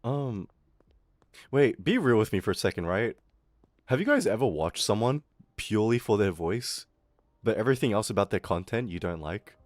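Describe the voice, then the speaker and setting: Young aussie guy ranting on stream, low voice bouncing all over, kinda american sometimes, dry close mic. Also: moderate pace, dry recording. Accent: Australian with some American. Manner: animated, swearing, mocking voices. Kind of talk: casual ranting stream chat.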